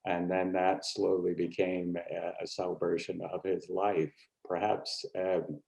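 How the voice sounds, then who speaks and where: An American man introducing himself informally at an online meeting, speaking haltingly with pauses mid-phrase. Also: low voice, flat delivery, creaky, darkish tone, dull audio. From California, United States. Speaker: board trustee.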